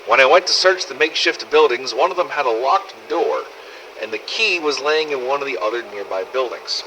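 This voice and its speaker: Man playing a sardonic survivor with a bright, lively voice. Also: medium pitch, fairly quick, a little hiss or hum, poor audio. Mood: matter-of-fact. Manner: wry, exasperated, sweary. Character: sardonic, weary male survivor runner in a zombie apocalypse. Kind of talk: in-character audio diary narration, fan-made game audio logs.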